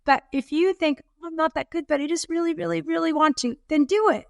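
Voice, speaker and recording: A woman's voice: very high voice, fairly even intonation, a little fast, vocal fry, no echo, studio quality. A woman in her fifties co-hosting a podcast, chatting casually and encouraging listeners. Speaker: podcast co-host. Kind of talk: casual chat.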